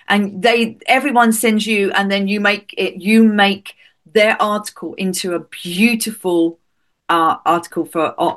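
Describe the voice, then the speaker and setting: A woman's voice: mid-range voice, a bit bright. Enthusiastic woman interviewer. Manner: enthusiastic, encouraging, halting questions. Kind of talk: casual interview chat.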